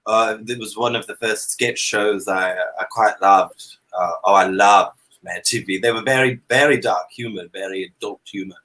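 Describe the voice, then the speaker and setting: Theatrical man with a bright, forceful voice. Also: lowish voice, moderate pace, poor audio. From Canada. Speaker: drag comedy performer, talk show guest. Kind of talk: comic interview chat in a dandy persona. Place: indoor room at home, on a video call. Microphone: video call.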